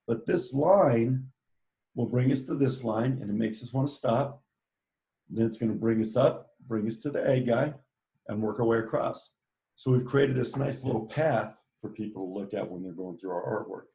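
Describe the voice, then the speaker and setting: A man's voice: medium pitch, normal speed, creaky, dark tone, poor audio. A man teaching a live online drawing lesson, giving playful step-by-step instructions in an upbeat way. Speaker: art teacher.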